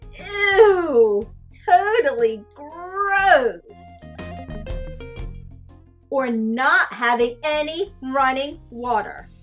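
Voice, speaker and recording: A woman reading a storybook aloud as a sassy, easily grossed-out young female cartoon character, with exaggerated, drawn-out disdain. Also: sits high, a bit bright, heavily acted. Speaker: teacher reading a picture book aloud. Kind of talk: animated children's storybook read-aloud.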